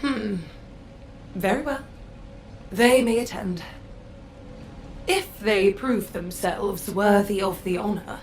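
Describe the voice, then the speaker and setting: A woman's voice: mid-range voice, slow pace, pauses often, rough recording. A woman playing a condescending council Magister in a video game, with a haughty, formal, clipped delivery. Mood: haughty, composed.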